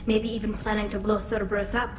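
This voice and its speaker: A woman's voice: mid-range voice, little pitch movement, fast talker, background noise, close to an everyday voice. Echoey, proud young alien woman , acted. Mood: distrustful, defiant. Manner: pointed, dryly defiant. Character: proud, wary young female alien starship engineer. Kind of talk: video game cutscene acting.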